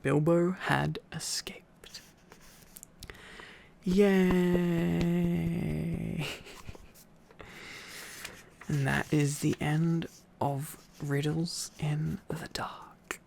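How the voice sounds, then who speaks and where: A man's voice: fairly high pitch, flat delivery, extremely slow, vocal fry, long pauses, darkish tone, a little hiss or hum. A sleepy man doing an intimate ASMR boyfriend-style reading, tired and affectionate, with playful asides to his listener. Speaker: ASMR boyfriend roleplay storyteller.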